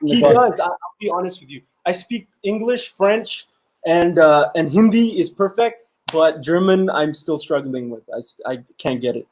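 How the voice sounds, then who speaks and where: A man with a notably high voice, speaking as an interview guest. He tells his stories playfully, jokes around and laughs through his lines. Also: expressive, moderate pace, darkish tone, low-quality recording. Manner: playful joker, laughs while talking. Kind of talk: casual storytelling chat.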